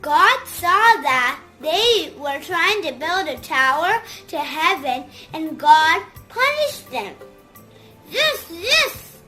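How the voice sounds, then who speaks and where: A female host presenting a children's puppet show in simple, deliberate sentences addressed to young viewers. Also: sits high, very animated, sharp tone, soft background music.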